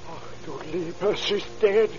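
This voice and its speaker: An actor playing a concerned newspaper deliverer in an old-time radio drama. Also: male voice, high-pitched, fairly even intonation, a little slow, loud music behind the voice. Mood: worried, alarmed. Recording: dull old radio recording.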